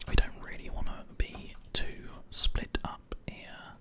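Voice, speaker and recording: A person whispering ASMR commentary over video gameplay, close to a binaural microphone. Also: slow. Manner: whispering throughout. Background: keyboard typing and mouse clicks.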